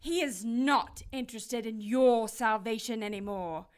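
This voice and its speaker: Woman playing high-voiced, wrathful warrior cherub. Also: a little slow, close to an everyday voice. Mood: wrathful threat. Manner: severe, commanding, sanctimonious. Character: stern, righteous warrior cherub, threatening angel of wrath. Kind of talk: audio drama acting.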